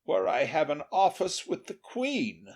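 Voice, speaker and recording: A courteous, regal storybook king as a male narrator plays him, speaking in formal, stately phrases. His pitch sits high and swings widely, with a good-humoured, noble temper. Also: sing-song, unhurried, creaky, breathy edge, full character voice. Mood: gracious.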